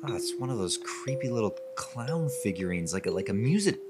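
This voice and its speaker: A man's voice: lowish voice, sing-song, a little fast, loud music behind the voice. A man playing an earnest, jittery newlywed husband in a radio drama. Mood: creeped out.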